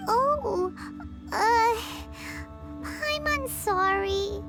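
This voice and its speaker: A woman's voice: very high voice, extremely slow, exaggerated voice. A woman voicing a small, childlike fairy companion in a video game, talkative and exclamatory. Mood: apologetic, pleading. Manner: refers to self by name, exclaims. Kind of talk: video game character voice acting. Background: background music.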